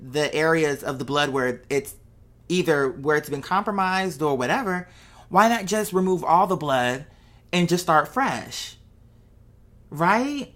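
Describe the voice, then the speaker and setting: Woman, low pitch, casual vlog monologue, conversational, rambling, thinking aloud, rhetorical check-ins. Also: a bit bright. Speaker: vlogger.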